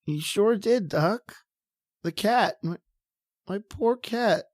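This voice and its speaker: A woman's voice: medium pitch, animated, slow pace, darkish tone, no room sound, clean studio sound. A woman playing a timid, stammering male lab assistant in an old-time radio horror drama, pleading and easily scared. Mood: frightened.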